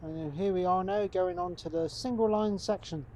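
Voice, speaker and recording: A man's voice: high voice, normal speed, slight background noise. A man with a congested, stuffed-up voice from a cold, chatting casually to viewers while playing a game. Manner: relaxed, chatty, talks to viewers as folks. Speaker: gaming channel host. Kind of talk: casual gameplay commentary.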